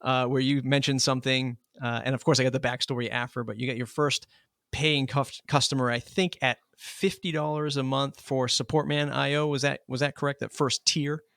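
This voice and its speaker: A man's voice: mid-range voice, steady tone, moderate pace, vocal fry, a bit bright, dry recording, clean studio sound. A man hosting a podcast in a casual, ad-libbed way, chatty and informal, repeating phrases for emphasis. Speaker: podcast host. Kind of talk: casual ad-libbed sponsor reads and interview.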